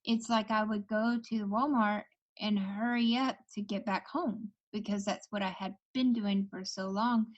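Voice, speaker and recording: A woman's voice: mid-range voice, a little slow, hardly any pauses. Reassuring woman reader on muffled audio. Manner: reassuring, chatty, relaxed. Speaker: tarot reader hosting a call-in show. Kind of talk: casual advice chat.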